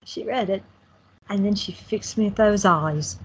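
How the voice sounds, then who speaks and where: A woman's voice: mid-range voice, a little slow, highs cut off, slight background noise, natural voice. A woman playing a teasing, affectionate young woman in an audio drama. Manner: playful, teasing.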